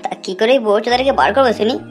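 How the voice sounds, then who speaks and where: A woman's voice: a little high, racing, close to an everyday voice. A woman voicing a scheming young widowed daughter-in-law in an amateur cartoon, brash and colloquial. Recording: music under the voice.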